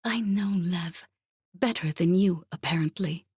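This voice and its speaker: A woman voicing a calm, calculating veteran female spy in an audiobook, speaking softly and breathily with quiet assurance. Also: lowish voice, measured pace, husky, no echo, clean studio sound, voice pushed up. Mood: coolly taunting. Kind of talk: audiobook character acting.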